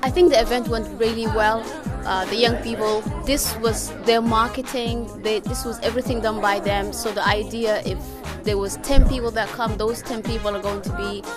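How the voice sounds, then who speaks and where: A woman's voice: fairly high pitch, steady tone, normal speed, few pauses. Woman reflecting on an event, music behind. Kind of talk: interview comments.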